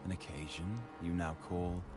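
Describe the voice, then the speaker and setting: An actor playing a poetic, calmly defiant storyteller in a video game, eloquent and measured. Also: male voice, low voice, over music, close to an everyday voice. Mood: courteous, explaining.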